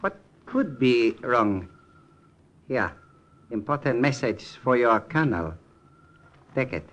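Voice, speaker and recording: Curt, slow-spoken colonel. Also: male voice, medium pitch, big pitch swings, fairly bright tone, highs cut off. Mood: curt, guarded. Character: curt, commanding male army colonel, sly and dismissive. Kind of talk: 1940s American radio drama acting. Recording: vintage radio drama recording.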